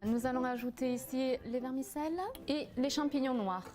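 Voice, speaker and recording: A woman of Vietnamese origin walks through a recipe in a casual demonstration, her voice sitting somewhat high. She hesitates often with fillers as she explains each step. Music plays clearly behind her. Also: normal speed. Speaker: cooking association cofounder demonstrating a recipe.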